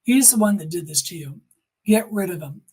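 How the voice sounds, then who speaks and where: An older woman giving personal testimony in conversation as an interview guest, speaking emphatically with deliberate repetition. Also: lowish voice, animated, warm tone, breathy edge.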